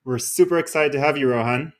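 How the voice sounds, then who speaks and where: A man's voice: mid-range voice, fast talker. A man opening a podcast, upbeat and welcoming as he introduces the guest. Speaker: podcast co-host. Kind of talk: show introduction.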